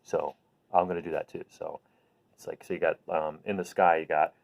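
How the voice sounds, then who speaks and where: A man hosting a children's bedtime read-aloud, talking gently and simply to viewers in an unhurried way. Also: low voice, steady tone, vocal fry. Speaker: host reading picture books to children. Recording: phone-like narrow-band sound.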